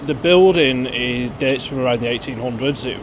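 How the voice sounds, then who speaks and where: A man's voice: medium pitch, normal speed, rough recording. A man working as a manager, giving informative answers in an interview. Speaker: general manager being interviewed.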